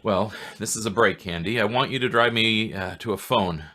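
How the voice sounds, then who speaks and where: A man playing a matter-of-fact homicide inspector with halting speech in a radio drama. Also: fairly low pitch, pushed and bright. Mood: businesslike. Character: businesslike male homicide police inspector. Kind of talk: old-time radio drama acting.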